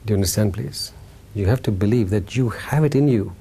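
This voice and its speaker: A man's voice: on the low side, a little fast, warm tone, slightly rough. A man giving an informal, playful talk as a spiritual teacher, telling a story to a group and checking in with his listeners. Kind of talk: animated storytelling Dharma talk to a live group.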